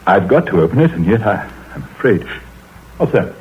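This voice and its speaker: An actor playing an anxious, wealthy middle-aged gentleman who speaks in a tense, hesitant way in an old radio drama. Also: on the low side, big pitch swings, a little fast. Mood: fearful. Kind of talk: 1930s radio drama acting. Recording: scratchy tinny old radio broadcast.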